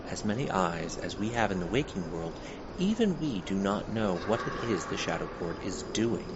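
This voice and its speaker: A man acts a grave, otherworldly presenter in an audio drama. His low voice is deliberate and portentous, posing brooding questions. The sound is muffled, under loud background noise. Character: grave, brooding otherworldly exhibitor pondering hidden conspiracies. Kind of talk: scripted audio drama monologue.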